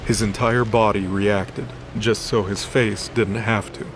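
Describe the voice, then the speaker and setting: A man's voice: on the low side, flat delivery, measured pace. A man narrating a scripted dramatic story as the storyteller of an audio drama. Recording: voice over background music.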